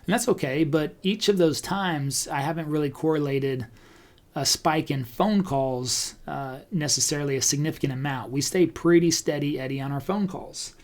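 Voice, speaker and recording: A man talking casually as a podcast host, conversational and thinking out loud as he goes. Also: fairly high pitch, moderate pace. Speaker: podcast host and real estate agent. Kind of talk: casual talk about online video marketing.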